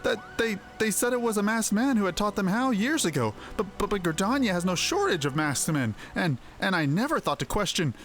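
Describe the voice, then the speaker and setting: A man voicing an excitable, stammering little moogle creature from a fantasy game. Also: high voice, fairly quick, few pauses. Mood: flustered, alarmed. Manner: stammering, repeating words. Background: faint music.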